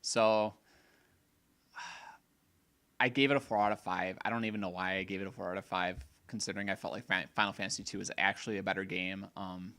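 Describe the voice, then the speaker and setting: A man co-hosting a casual gaming podcast, opinionated and rambling, a little hoarse, often restarting his sentences. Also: sits low, steady tone, a little fast, pushed and bright. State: slightly hoarse. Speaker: podcast co-host.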